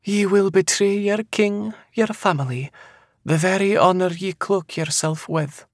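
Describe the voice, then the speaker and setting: A man's voice: sits high, a little slow, no room sound, clean studio sound, pitched above the performer's own voice. A man voicing an eerie female seer with a Scottish accent, making cryptic, certain prophecies in archaic dialect for an audiobook. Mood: ominous prophecy. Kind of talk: dramatic audiobook character voice.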